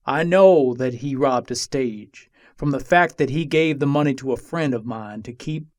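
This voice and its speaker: A man's voice: mid-range voice, dry recording, studio quality, natural voice. A man playing an embattled Old West gunslinger who pleads his case from jail in formal, old-fashioned storytelling, in a dramatic reading.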